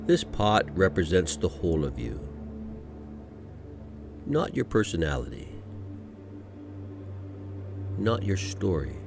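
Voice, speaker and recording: A man with a low-pitched voice leads a guided meditation. He speaks slowly and gently, leaving frequent pauses between scripted phrases. Soft music plays behind him. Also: big pitch swings, creaky.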